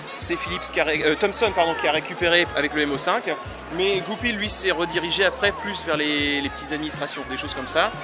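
A man in his thirties talks through his stand in a high, eager voice, pausing often on fillers and restarts. He is recorded on a handheld recorder in a busy convention hall. Loud music plays throughout. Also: crowd noise. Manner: explanatory, many fillers and restarts. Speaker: retro computer exhibitor. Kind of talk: casual explanation at a convention stand. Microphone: handheld recorder held by the interviewer.

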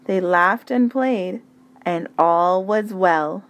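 A woman reading a children's picture book aloud, with deliberate, dramatic phrasing and emphatic pauses. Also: lowish voice. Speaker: storybook reader.

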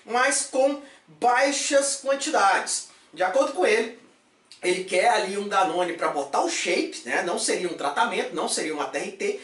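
A man's voice: sits high, lively intonation, normal speed, a bit bright, recorded from a distance. A man in his thirties hosting a casual fitness vlog, talking animatedly with jokey catchphrases. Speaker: fitness supplement YouTuber. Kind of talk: energetic casual vlog chat. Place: small room with shelves of supplements. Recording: echoey room, voice off-mic.

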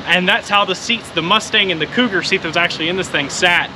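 A man, narrating a car vlog outdoors in a laid-back, excited way and laughing often. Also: high-pitched, quick pace. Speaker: car restoration vlogger. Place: outdoors in an open car on a road. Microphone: camera mounted on the car. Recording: loud engine noise outdoors. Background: engine noise.

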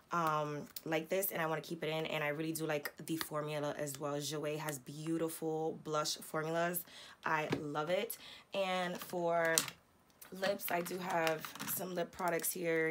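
A young woman beauty vlogger with a hoarse, raspy voice after losing it, chatting casually and slangily about makeup at home. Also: low voice, slight background noise. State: hoarse, lost voice. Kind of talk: casual chatty product talk. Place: home beauty room, indoors.

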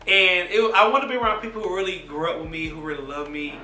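A man in his thirties talks openly and chattily to camera, poking fun at himself. His voice is high and bright. It sounds slightly distant in an echoey room, with faint music underneath. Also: steady tone, fast. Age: 30s. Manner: candid, chatty, self-mocking. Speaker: reality show cast member. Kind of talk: confessional to camera.

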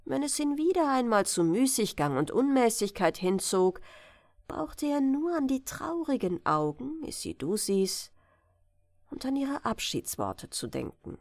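A woman's voice: fairly high pitch, sing-song, unhurried, darkish tone, dry recording, studio quality. A woman narrating a bedtime fairy tale as an audiobook reader.

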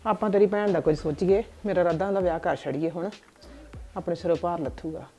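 A woman plays a practical village mother in a comedy sketch, giving her son steady instructions. Her voice is low and she talks very fast, with faint music behind. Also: natural voice. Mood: instructing.

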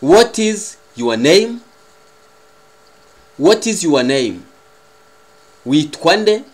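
A man with a Rwandan accent, a native Kinyarwanda speaker, teaching a language lesson in a deliberate, carefully enunciated way as he repeats phrases. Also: a little high, sing-song. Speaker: English teacher.

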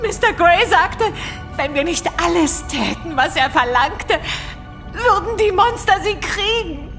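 An actress playing a distraught, blackmailed mother in a horror audio drama, halting and tearful. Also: very high voice, expressive, fairly bright tone, faint music behind, full character voice. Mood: tearful, desperate.

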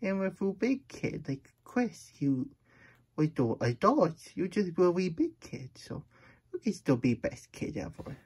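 A man's voice: sits high, big pitch swings, a little slow, darkish tone, slightly rough, heavily acted. A man voicing a silly, childlike plush hedgehog who reads storybooks aloud in babyish broken grammar and gets easily flustered. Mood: playful, suspicious. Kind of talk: playful storybook read-aloud.

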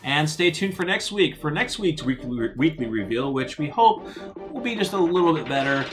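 A man's voice: on the high side, big pitch swings, moderate pace. A man co-hosting a fan news show in casual, unscripted chat. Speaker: co-host of a fan news channel. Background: background music.

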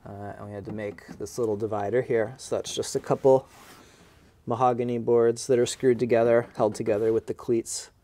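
A man in his thirties, a boatbuilder, explaining his work in a casual, relaxed way with frequent "uh" fillers, recorded in an indoor workshop. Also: medium pitch, a little fast. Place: indoor boat shop.

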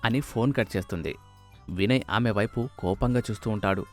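A man's voice: on the low side, brisk, soft background music. A man narrating a scripted story as its narrator.

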